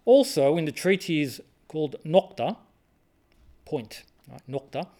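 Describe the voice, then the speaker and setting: A man's voice: on the high side, very animated, measured pace, dark tone. A man leading a study group, reading passages of a religious text aloud and explaining them in an informal, hesitant way with many ums and restarts. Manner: informal and conversational. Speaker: study circle leader.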